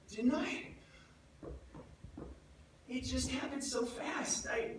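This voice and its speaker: An actor playing a grief-stricken, guilt-ridden disciple of Jesus in a theatrical monologue, speaking in a halting, emotive way. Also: male voice, high voice, a bit bright, a little hiss or hum, exaggerated voice. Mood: bewildered, regretful. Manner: halting, anguished, emotive delivery with repeated words. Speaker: actor in a church dramatic monologue. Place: large echoing church indoors. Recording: echoey church, far from the mic.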